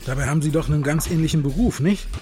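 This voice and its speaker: Chatty, higher-pitched male hospital patient acted. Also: rapid-fire, a little mellow, close to an everyday voice. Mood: amazed, curious. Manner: folksy, chatty, easily amazed. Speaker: actor in a drama. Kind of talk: dialogue acting in an audio drama.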